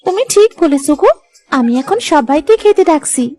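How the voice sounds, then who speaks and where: Younger cartoon daughter-in-law played by a woman: extremely high, sweet, eager to agree, music behind. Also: lively intonation, moderate pace, dark tone. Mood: attentive. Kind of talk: Bengali moral-story cartoon dubbing.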